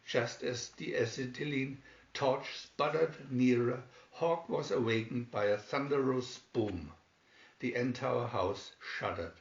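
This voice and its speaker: Male storybook narrator, slow pace, dramatic read-aloud delivery, roomy echo, muffled sound. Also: mid-range voice, gravelly. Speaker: storybook reader. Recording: dull, echoey room recording.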